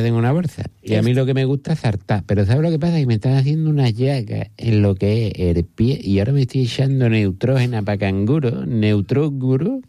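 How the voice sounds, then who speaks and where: A man's voice: medium pitch, dark tone, no echo, studio quality, close to an everyday voice. A man voicing a cheeky, foul-mouthed female kangaroo in an improvised comedy sketch, rambling and joking. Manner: rambling, joking, crude asides.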